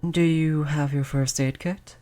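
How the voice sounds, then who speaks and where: A performer voicing a polite vampire who looks like a child, gentle, reassuring and chatty in an audio roleplay. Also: medium pitch, steady tone, a little slow, lightly acted. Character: polite vampire turned young, stuck looking like a child. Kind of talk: audio roleplay spoken to the listener.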